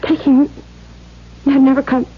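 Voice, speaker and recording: Casting: timid, anxious young woman, dutiful daughter, high voice, faltering delivery; vintage radio drama, muffled sound. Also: little pitch movement, a little slow. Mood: anxious, bewildered. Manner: hesitant, stammering, anxious. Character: timid, beaten-down younger sister and dutiful daughter, around thirty. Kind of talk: 1970s radio drama acting. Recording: dull vintage radio recording.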